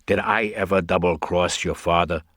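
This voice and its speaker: A man's voice: low-pitched, fairly even intonation, fairly bright tone, breathy edge, no echo, studio quality. A man voicing a brash, slick con man who talks in slangy, pushy bursts in a storybook reading. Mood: indignant. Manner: slangy, fast-talking, pushy.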